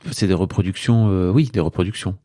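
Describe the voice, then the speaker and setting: A man's voice: low-pitched, a little fast, dark tone, no echo, studio quality. A man hosting a casual interview, speaking informally and hesitantly as he searches for his words. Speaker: podcast host interviewer.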